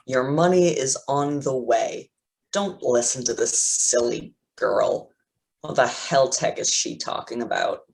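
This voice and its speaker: A man's voice: mid-range voice, unhurried, gravelly, poor audio. A man playing a smug, threatening supervillain crime boss in a staged play reading, with a taunting manner. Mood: dismissive, irritated.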